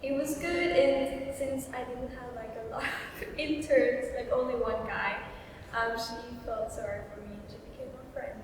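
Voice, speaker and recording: A woman's voice: fairly high pitch, steady tone, moderate pace. A young woman with a Swiss accent, speaking English as a second language in light-hearted casual chat. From Switzerland. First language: not English. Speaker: guest. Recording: distant echoey room, faint noise.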